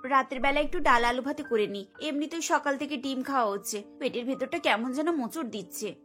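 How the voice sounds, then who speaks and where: A woman's voice: high-pitched, brisk, a bit bright, faint music behind. A woman voicing a weary young daughter-in-law in a cartoon, complaining and pleading. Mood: uneasy. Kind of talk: Bengali cartoon voice acting.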